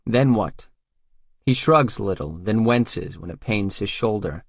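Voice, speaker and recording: A man narrates a first-person novel in a lowish voice. It is scripted audiobook reading. The sound is very dry, with slightly dulled top end. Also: moderate pace, vocal fry, no echo, muffled recording.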